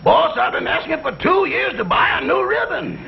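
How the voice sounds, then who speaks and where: An American man playing a cheeky, wisecracking household assistant in a vintage sitcom, with a raspy voice and punchy comebacks. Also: very high voice, very animated, moderate pace, heavily acted. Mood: indignant, cheeky. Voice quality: gravelly, hoarse rasp. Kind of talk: 1960s sitcom acting. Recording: very noisy, dull vintage TV soundtrack.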